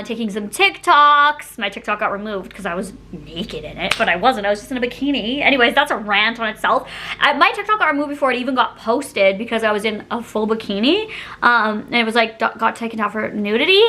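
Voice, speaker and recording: A young woman vlogger with a fairly high, very bright and forceful voice. She chats casually as if telling friends a story, with a dramatic, giggly delivery that keeps wandering off on tangents. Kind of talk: casual storytime chat to camera.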